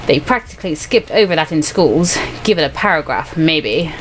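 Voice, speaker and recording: Woman as bitter working man, low-pitched, gruff, cynical, visual novel character, faint background noise. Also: expressive, moderate pace, low-quality recording. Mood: bitter, weary. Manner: grumbling, cynical, emphatic.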